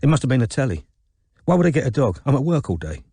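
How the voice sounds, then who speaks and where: A man voicing a tense, defensive London man with a flat, stiff manner in an audiobook. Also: medium pitch, fast talker, breathy edge, dry recording, pitched above the performer's own voice. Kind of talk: audiobook character dialogue.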